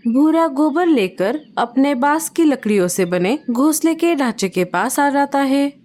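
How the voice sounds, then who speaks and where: Woman storybook narrator, calm, slightly high voice, slow delivery.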